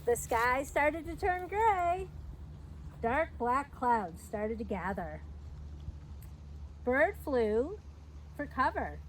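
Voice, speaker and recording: A middle-aged woman reads a picture book aloud to young children in an expressive, sing-song storytelling manner with chatty asides. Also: high voice, extremely slow, long pauses, rough recording. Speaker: park educator hosting a children's storytime. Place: outdoors by a fence at a trailhead. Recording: outdoor recording with background noise.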